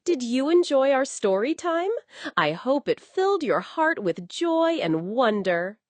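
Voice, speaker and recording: Slow, very expressive woman storyteller. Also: high-pitched, no echo. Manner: expressive, coddling, addresses a young child. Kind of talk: scripted children's bedtime narration.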